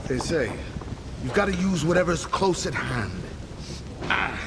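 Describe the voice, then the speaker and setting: A man's voice: a little high, lively intonation, a little slow, gravelly, background noise. A man acting a charismatic, teasing rebel leader in a video game, with a sardonic, theatrical and familiar manner. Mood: wry, relaxed. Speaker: video game character in cutscenes. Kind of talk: dramatic video game dialogue. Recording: loud music behind dialogue.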